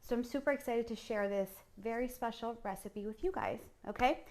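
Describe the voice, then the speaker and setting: A woman's voice: on the high side, fairly quick, a little mellow. A middle-aged woman from Puerto Rico chatting informally as a chef on a live cooking show, often checking in with viewers. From San Juan, Puerto Rico. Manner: chatty, informal, addresses viewers directly with frequent "okay?" tags. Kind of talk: casual live-streamed cooking demo chat.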